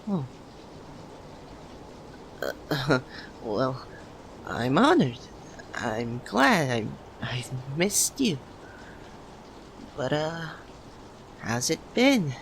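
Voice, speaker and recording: Slow, halting, shy young man acted out. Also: medium pitch, exaggerated voice, rough recording. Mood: awkward, shy. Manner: hesitant, halting, stammering with pauses. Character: shy, lovesick young man, nervous childhood best friend. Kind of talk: ASMR roleplay, soft emotional monologue to the listener. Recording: noticeable steady background ambience noise.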